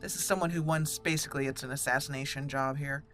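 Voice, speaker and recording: A woman's voice: extremely low pitch, fairly even intonation, fast talker, fairly bright tone, faint music behind. A woman hosting a video game roleplay let's play, talking in a conversational, explanatory way. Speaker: gaming channel host. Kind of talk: roleplay let's play commentary, reading character dialogue in between.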